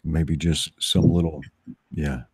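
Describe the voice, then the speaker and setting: A man's voice: a bass voice, steady tone, slow, darkish tone, husky, no echo. A man co-hosting a livestream with casual, joking banter. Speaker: livestream co-host.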